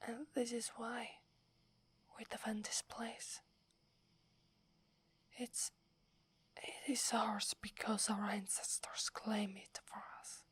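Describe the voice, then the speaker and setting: A woman's voice: fairly high pitch, little pitch movement, slow, long pauses, fairly bright tone, exaggerated voice. A woman with an Italian accent voicing a devoted young female werewolf who defers to her alpha, in a whispered ASMR roleplay. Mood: earnest, reverent. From Italy. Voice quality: breathy whisper. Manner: hesitant, reverent, submissive. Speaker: voice actress.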